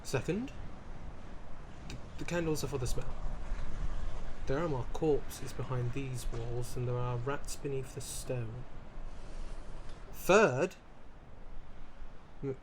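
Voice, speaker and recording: A man's voice: mid-range voice, slow pace, long pauses, noisy background, lightly acted. A man playing a theatrical, fussy vampire aristocrat in an ASMR roleplay, with pompous old-fashioned wording, flustered stammers and dramatic emphasis. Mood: flustered, defensive. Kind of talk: ASMR roleplay character acting, addressing the listener.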